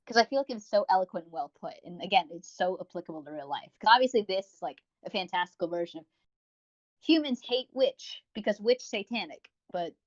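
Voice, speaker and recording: A man's voice: sits high, expressive, a little fast, dull audio. A man co-hosting a podcast in casual chat, rambling and gushing about what he reviews, with self-mockery and casual swearing. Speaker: podcast co-host. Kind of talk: casual chat, reviewing audio roleplay series.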